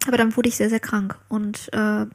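Husky, gravelly woman from Ukraine. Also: mid-range voice, normal speed. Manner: relaxed, laughs often, trails into soft whispers. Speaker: podcast guest. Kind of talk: casual conversation.

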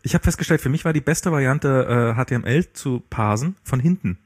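A man's voice: medium pitch, a little fast, darkish tone. A man in casual conversation, explaining a coding idea hesitantly with restarts. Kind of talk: casual tech chat.

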